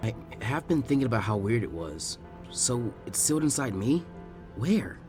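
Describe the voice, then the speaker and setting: A man's voice: medium pitch, very animated, normal speed, music in the background, lightly acted. A man voicing a blunt, sarcastic, emotional teenage ninja boy in storybook dialogue. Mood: subdued.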